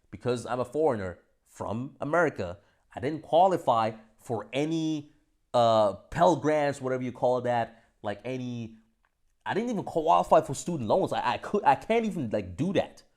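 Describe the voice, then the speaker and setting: Young man, from Austria, lively swooping pitch, casual vlog, cursing, loud then quiet. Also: moderate pace. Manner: animated, swears freely, jumps between loud and soft. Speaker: vlogger. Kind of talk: casual monologue to camera.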